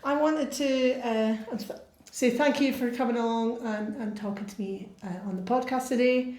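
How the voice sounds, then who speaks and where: A woman's voice: a little high, fairly even intonation, moderate pace, darkish tone. A woman hosting a podcast, introducing the episode and interviewing her guest with frequent listening sounds. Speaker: podcast host.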